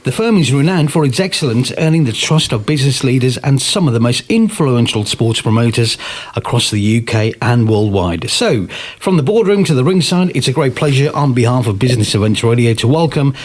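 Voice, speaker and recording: A man hosting a business radio interview, reading a formal, flattering introduction and then asking questions with some halting ums and uhs. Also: mid-range voice.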